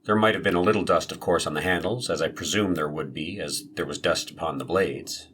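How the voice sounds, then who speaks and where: Man as a deep-voiced, guarded police witness. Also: a little fast, a bit bright, husky. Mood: guarded, factual. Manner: clipped, matter-of-fact answers. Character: terse, guarded male police officer on the stand. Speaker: actor reading a police witness's part. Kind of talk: dramatized courtroom transcript reading.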